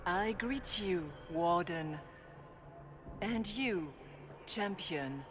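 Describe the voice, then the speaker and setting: A woman playing a serene, solemn apparition of an elder holy woman in a video game, speaking formally and deliberately. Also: on the low side, animated, noisy background. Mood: solemn, measured. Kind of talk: dramatic video game dialogue. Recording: game audio with loud background ambience.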